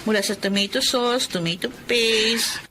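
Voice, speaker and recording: A woman's voice: fairly high pitch, a little slow, sharp tone, a little hiss or hum, poor audio. A woman playing a polite, affectionate young Filipino daughter in a radio drama. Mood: cheerful, affectionate. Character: dutiful, cheerful young daughter, a teacher.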